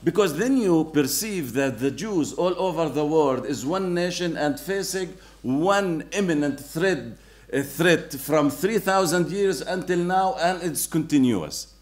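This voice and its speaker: A man's voice: fairly high pitch, measured pace, hardly any pauses. A man on an academic panel, earnestly explaining his argument.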